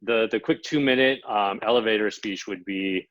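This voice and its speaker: American man, 30s, low voice, dry dull sound, lots of fillers. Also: moderate pace, no room sound. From United States (Los Angeles). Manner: relaxed, many fillers like "um" and "you know". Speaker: guest, Amazon seller and sourcing coach. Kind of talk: podcast interview, casual storytelling.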